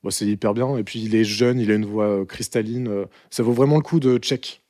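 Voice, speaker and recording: A young man co-hosting a podcast, chatting in a relaxed, enthusiastic way with fillers. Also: on the low side, a little fast, no room sound, studio quality. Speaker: podcast co-host. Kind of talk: casual chat.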